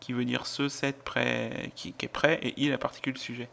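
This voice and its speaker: A man's voice: mid-range voice, flat delivery, normal speed, gravelly, a bit bright. A French-speaking man co-hosting a casual Korean lesson in a joking, self-mocking manner. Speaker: co-host of a Korean language lesson. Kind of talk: casual teaching with bantering chat.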